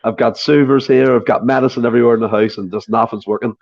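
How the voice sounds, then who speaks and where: A hoarse man with a Scottish accent hosting a casual podcast, chatty and leading the talk with questions. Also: mid-range voice, fairly even intonation, quick pace, highs cut off. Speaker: podcast host. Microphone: remote call setup.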